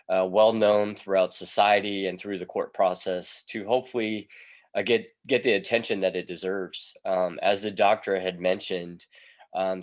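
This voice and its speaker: A man, a police sergeant giving a presentation over a video call, sounding congested from a cold and pausing often with ums. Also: low-pitched. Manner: hesitant, many ums between phrases.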